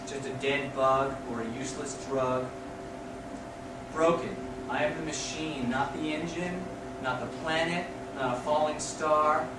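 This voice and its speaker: A man reading poetry aloud as a poet, declaiming in short, deliberate phrases. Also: on the high side, fairly even intonation. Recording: distant echoey room recording with noise.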